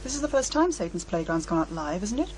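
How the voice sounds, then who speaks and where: A woman as a practical studio technician in an audio drama. She talks quickly and briskly, with a faint hum in the recording. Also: lowish voice, sing-song, a little mellow. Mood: businesslike. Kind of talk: radio drama acting.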